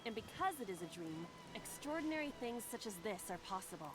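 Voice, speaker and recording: A woman's voice: mid-range voice, very animated, a little fast, natural voice. An actress voicing a precise, instructive female AI eyeball companion in a detective video game, explaining things matter-of-factly. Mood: instructive, assured. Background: game music.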